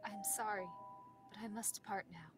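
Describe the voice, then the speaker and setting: A woman's voice: medium pitch, moderate pace, lightly acted. A woman playing a solemn, motherly psychic creature guardian with a formal, grave manner in a fan comic dub. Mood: grave, urgent. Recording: loud music under the voice.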